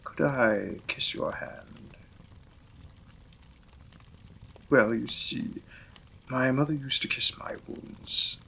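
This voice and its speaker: A man playing a gentle, apologetic Victorian vampire lord in a romantic audio roleplay, speaking formally and tenderly as a courteous new husband. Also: medium pitch, takes it slowly, vocal fry, husky, exaggerated voice, low-quality recording. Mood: tender, affectionate.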